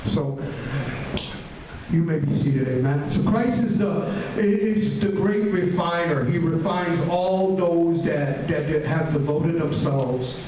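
A middle-aged man preaching a sermon in a small church hall, adding "amen" after nearly every phrase, with halting restarts. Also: a little high, dark tone, a little hiss or hum. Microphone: handheld mic, filmed from a far camera. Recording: very echoey room, distant camera.

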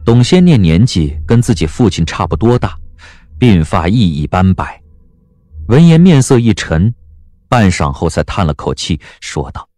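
A man narrating an audio drama in an expressive storytelling manner. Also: low voice, moderate pace, no room sound, studio quality.

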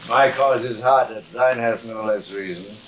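A man's voice: on the low side, a little slow, natural voice. A man playing a middle-aged, guilt-tormented stage actor in a grandiose, theatrical old television drama manner. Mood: distracted, sardonic. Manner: declamatory, dramatic. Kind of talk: theatrical 1950s television acting. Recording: muffled, hissy old television soundtrack.

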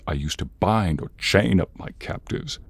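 A man playing an exasperated, menacing horned demon in an ASMR roleplay, theatrical and grumbling, with a husky, breathy voice. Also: low-pitched, expressive, normal speed, full character voice. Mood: weary, brooding.

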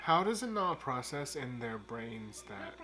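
A man's voice: mid-range voice, sing-song, unhurried, over music. A young man, co-hosting a film reaction with campy, sassy quips. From Texas. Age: young adult. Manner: sassy, campy quips, calls people girl and baby. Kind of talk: casual reaction chat. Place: home room with bookshelves, indoors.

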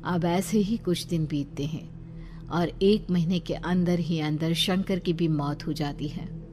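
A woman giving scripted narration for an animated horror story, with music underneath. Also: fairly low pitch, unhurried.

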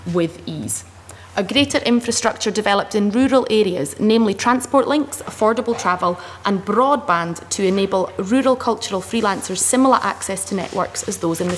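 30s woman reading a list at a desk mic, steady and measured, big room with a long echo and a bit of hum. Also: mid-range voice. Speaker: panel speaker. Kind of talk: reading a list of proposals aloud. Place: committee room in a parliament building. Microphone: desk microphone. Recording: long reverb with faint room noise.

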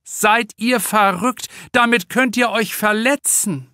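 An overprotective mother, played by a male narrator, scolds in an agitated voice pushed high. It is storybook character reading, recorded dry and close. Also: slow pace, pushed and bright, no room sound, full character voice. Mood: indignant scolding. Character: overprotective, alarmed helicopter mother on the beach.

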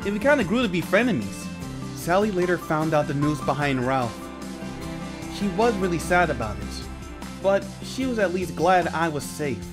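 An earnest, slightly wimpy teenage superhero played by a man tells his story in a comic dub. The voice sits a little high and moves around expressively, with music playing behind it. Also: very animated, normal speed. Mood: reflective. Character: earnest wimpy teen boy turned superhero, narrating. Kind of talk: comic dub dialogue and narration.

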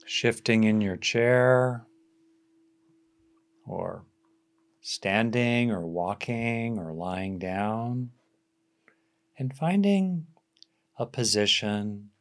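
A man with a fairly low voice leads a guided meditation. He speaks very slowly, offering gentle instructions in short phrases and leaving long pauses between them. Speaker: meditation teacher.

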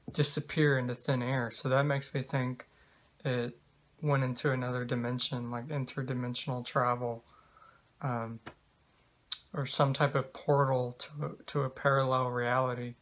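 A man in his twenties talking casually to camera in a halting, hesitant, rambling way, recorded indoors in a bedroom. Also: medium pitch, level tone, a bit bright. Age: 20s. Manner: halting, hesitant, trailing delivery. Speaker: vlogger.

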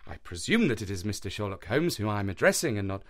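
A man's voice: lowish voice, big pitch swings, quick pace, a bit bright. A man doing a fussy, stammering young doctor. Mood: humble. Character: young, eager, bumbling male country doctor.

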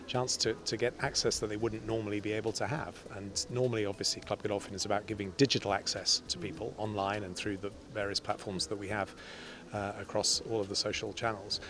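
A man in his fifties with a fairly low voice answers in an interview. He is outdoors on a handheld mic with clear ambient noise behind him. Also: level tone, fast. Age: 50s. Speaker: stud farm representative being interviewed. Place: outdoors in a farm courtyard. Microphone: handheld interview microphone.

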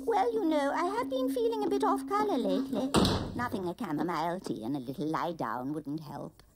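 A woman's voice: medium pitch, animated, fairly quick, very noisy, lightly acted. A woman playing a fussy, genteel undead aunt in a cartoon, blithely unruffled. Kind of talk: animated film character acting.

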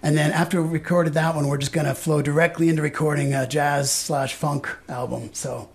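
Creaky, higher-voiced male songwriter. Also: fairly even intonation, fairly quick. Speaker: band songwriter being interviewed. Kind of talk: casual interview chat. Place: live in a radio studio.

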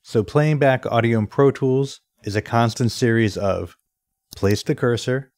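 A man presenting an instructional software screencast in a methodical, step-by-step way. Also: medium pitch, unhurried, no room sound, clean studio sound. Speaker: tutorial presenter.

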